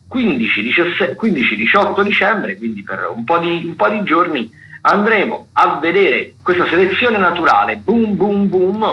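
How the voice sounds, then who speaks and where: A man's voice: medium pitch, dull audio. A man giving conversational radio listings, hesitating and repeating words as he goes. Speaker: theatre critic, radio contributor.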